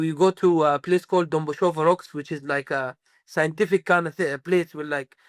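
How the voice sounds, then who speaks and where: A man's voice: fairly high pitch, dry recording. A man from Sudan, a musician guest in a casual interview, rambling with many fillers and restarts.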